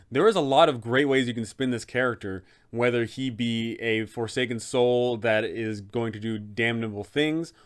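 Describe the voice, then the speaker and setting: A man hosting an explanatory guide for game masters, addressing the viewer directly and posing rhetorical questions. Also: medium pitch. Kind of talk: explanatory video narration with advice for game masters.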